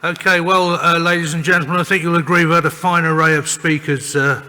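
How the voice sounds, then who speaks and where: A man chairs a conference session in a brisk, informal way. His voice is bright and forceful, with a long hall reverb around it. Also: on the high side, little pitch movement. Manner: brisk, informal, self-aware. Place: lecture hall. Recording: hall reverb, faint room noise.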